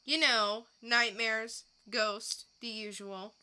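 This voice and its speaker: A cool, world-weary mercenary woman from a comic book, acted out by a woman. Her voice is very bright and sharp, and she talks slowly in terse, wry lines. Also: fairly high pitch, fairly even intonation, clean tone, full character voice. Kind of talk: comic book dramatic reading.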